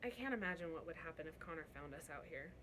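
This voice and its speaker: A woman voicing a young servant girl in a storybook read-aloud, soft, hesitant, earnest and worried. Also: deep voice, expressive, quick pace, slight background noise.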